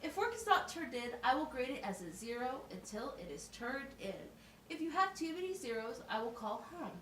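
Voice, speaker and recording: A woman with a high, very animated voice acts as a teacher in a puppet show. She explains things in simple, deliberate words. The recording is echoey and picked up from a little way off. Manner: instructive, simple wording with deliberate enunciation. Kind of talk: slow, scripted classroom puppet show. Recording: roomy, distant mic with faint noise.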